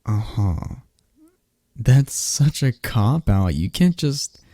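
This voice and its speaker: A man's voice: lowish voice, vocal fry, no echo. A young man speaking in a scripted roleplay as a close friend, talking animatedly to someone the listener never hears. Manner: talks to an unheard listener, chatty and animated. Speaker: ASMR roleplay performer. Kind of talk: scripted friend roleplay, one-sided conversation.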